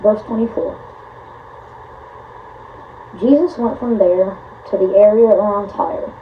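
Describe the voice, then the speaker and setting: A woman with a slightly hoarse voice, reading scripture aloud and explaining it earnestly, asking the listener rhetorical questions. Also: mid-range voice, takes it slowly. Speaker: Bible video host. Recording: tinny phone-like sound, faint hiss.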